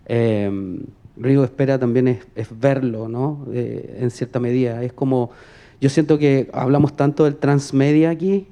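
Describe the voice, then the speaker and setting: A man with a very dark, soft-edged voice at medium pitch speaks from the audience. He rambles informally toward his question in short, hesitant chunks. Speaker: film director asking an audience question.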